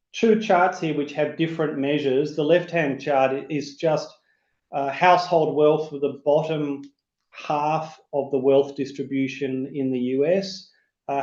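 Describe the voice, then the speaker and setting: A man who is getting over a cold, sounding croaky, presents an economic outlook on a video call with many fillers and restarts. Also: medium pitch, darkish tone. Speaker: chief economist, webinar speaker. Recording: roomy, dull remote webinar audio.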